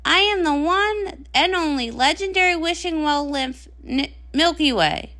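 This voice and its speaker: A woman's voice: sits high, lively intonation, a little slow, sharp tone, full character voice. A woman voicing a whimsical, boastful magical wishing well nymph in a comic read-along, chatty and theatrical. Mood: playful, grand.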